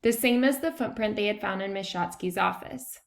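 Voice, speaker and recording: Creaky-voiced woman reading a children's story. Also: mid-range voice, moderate pace. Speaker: read-aloud narrator. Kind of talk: expressive read-aloud of a children's chapter book.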